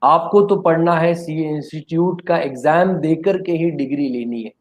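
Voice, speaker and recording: A man with a somewhat high voice talks in a casual discussion about exams. He is impassioned and keeps appealing for fair treatment of students. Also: moderate pace. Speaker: exam coaching teacher. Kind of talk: casual chat.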